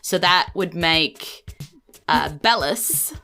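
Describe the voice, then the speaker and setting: A woman's voice: fairly low pitch, drawn-out words, vocal fry, bright, forceful tone, soft background music. A woman with a New Zealand accent improvising narration as the game master of a tabletop game.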